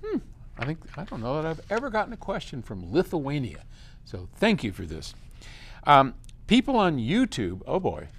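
A man's voice: a little high, wildly swooping pitch, normal speed, gravelly, slightly rough. An American man in his seventies giving a casual explanation to camera in an informal, emphatic, conversational way. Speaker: company chief answering viewer questions. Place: large open office lobby, indoors.

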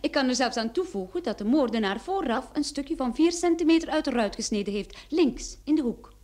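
An actress playing a clever, self-assured private detective in a radio play, pert and precise. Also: female voice, high voice, expressive, a little fast, darkish tone. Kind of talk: old radio play acting.

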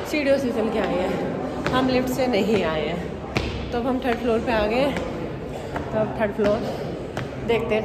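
A young Indian woman filming herself on a phone at arm's length, chatting to viewers as she walks through large museum halls. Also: on the high side. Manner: chatty, addresses viewers directly. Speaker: travel vlogger. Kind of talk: casual walking tour vlog. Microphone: handheld phone at arm's length. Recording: noisy phone recording in a busy museum.

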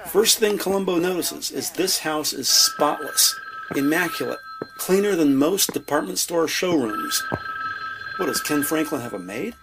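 Gravelly man narrating an audio drama. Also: on the high side, lively intonation, noisy background. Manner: descriptive, measured present-tense storytelling.

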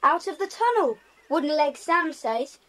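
An adventurous British child in a children's audio play. The voice is extremely high, with a keen, matter-of-fact way of explaining things. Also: female voice, very animated, moderate pace, fairly bright tone. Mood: reasoning. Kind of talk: children's audio drama acting.

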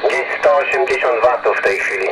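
A man's voice: mid-range voice, brisk. A man chatting casually and colloquially over a CB radio. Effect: CB radio transmission. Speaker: amateur CB radio operator. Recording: very noisy CB radio speaker, static. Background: loud radio interference.

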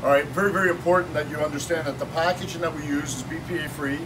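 Energetic salesy man in his fifties. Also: fairly high pitch, rapid-fire. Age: 50s. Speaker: shop owner demonstrating cooking. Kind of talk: casual promotional demo. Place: small shop office with kitchen counter, indoors. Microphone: phone camera held by someone nearby. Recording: distant phone audio with room noise.

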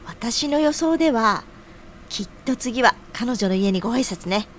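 An actress playing a cheerful, teasing, nosy young senior colleague in an audio drama, with playful feminine phrasing. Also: female voice, a little high, animated, unhurried. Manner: feminine sentence endings, playful. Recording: audible background noise.

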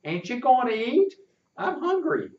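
Man putting on a squeaky scruffy boy. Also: sing-song, unhurried, full character voice. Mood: earnest. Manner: rustic ungrammatical talk. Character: scruffy boastful boy of about ten. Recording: roomy and distant, muffled.

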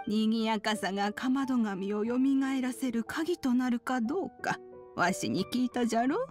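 A woman's voice: fairly high pitch, a little mellow, faint music behind, natural voice. A woman voicing a kindly, wise old female master in a Japanese game, speaking in an archaic elderly manner. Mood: solemn, explaining. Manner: archaic elderly speech, unhurried sage delivery.

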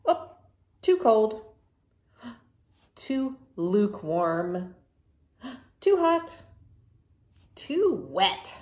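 A stubborn, melodramatic storybook pigeon , acted out by a woman reader, emphatic and childishly argumentative. The pitch jumps up and swoops, and the words ring in an echoey room. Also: big pitch swings, drawn-out words, pauses often, darkish tone, full character voice. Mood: fussy, complaining. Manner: emphatic, exclaiming, protesting. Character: dirty, stubborn, dramatic cartoon pigeon who argues like a child.

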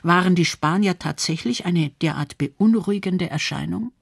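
A woman's voice: on the low side, normal speed, creaky, husky, no room sound, clean studio sound. A woman reading scripted documentary narration.

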